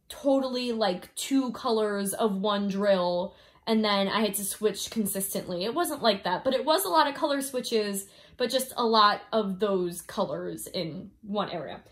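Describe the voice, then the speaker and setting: A woman's voice: mid-range voice, takes it slowly. A young woman casually chatting through a craft kit review, a little congested from a cold, rambling and giggling with many fillers. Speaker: diamond painting vlogger. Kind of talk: casual review chat, reading off notes. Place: indoors at home, filming down at a canvas.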